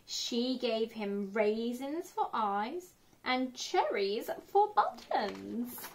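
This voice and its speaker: A young woman reading a children's storybook expressively as a teacher, recorded indoors a short way from the camera. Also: on the high side. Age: young adult. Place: small indoor room, sitting on a bed.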